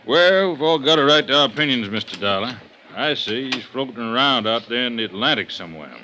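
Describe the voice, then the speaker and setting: A man's voice: medium pitch, lively intonation, a little fast, sharp tone. An actor playing a stubborn, folksy male prosecutor with a courteous manner in a vintage radio drama. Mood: grudging. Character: stubborn, folksy male district attorney's official. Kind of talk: 1950s radio drama acting. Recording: noisy vintage radio recording.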